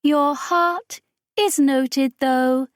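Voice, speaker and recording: Woman as high-pitched, ceremonious alien majordomo. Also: very slow, dry recording, clean studio sound. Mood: stern. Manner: solemn, archaic, ceremonious. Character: reverent, formal alien hive-being serving as majordomo.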